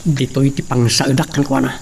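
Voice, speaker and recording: An actor playing a vengeful, scheming villain who broods aloud in a melodramatic radio drama. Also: male voice, medium pitch, little pitch movement, normal speed, gravelly, a little hiss or hum, lightly acted. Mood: menacing scheming. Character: scheming, vengeful male villain plotting murder and takeover.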